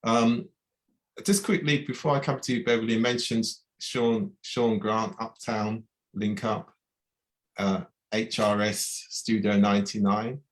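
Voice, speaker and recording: A man from the UK hosts an online community event in a very deep voice. His delivery is measured and level, with little rise and fall. He often restarts his phrases as he goes. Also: flat delivery. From United Kingdom. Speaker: host.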